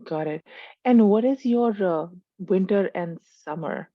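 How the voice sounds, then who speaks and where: A woman's voice: mid-range voice, a little slow, no room sound, phone-quality sound. A woman hosting a webinar interview over a video call, friendly and full of small acknowledgements and fillers. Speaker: webinar host. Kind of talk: interview host questions.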